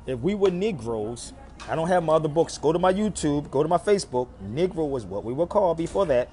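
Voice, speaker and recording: A man's voice: fairly high pitch, very animated, a little fast, mellow, slight background noise. A man hosting a livestream, talking to his viewers in an emphatic, preachy way and repeating phrases for effect. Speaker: live stream host.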